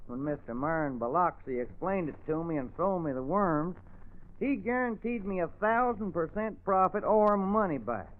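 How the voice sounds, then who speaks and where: An actor playing a gullible, apologetic country husband and farmer with a Southern accent, earnest and polite, in old radio drama style. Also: male voice, on the high side, lightly acted. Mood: rueful. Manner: earnest, slow-witted, polite, folksy. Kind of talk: 1950s radio drama acting. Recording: tinny old radio broadcast recording.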